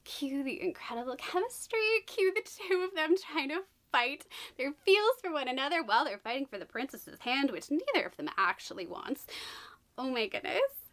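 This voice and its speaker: A woman chats through a book unboxing for her channel. Her voice is high and bright but slightly raspy from being sick. She gushes, then drops into hushed, whispered asides. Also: sing-song, normal speed. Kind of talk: casual unboxing vlog.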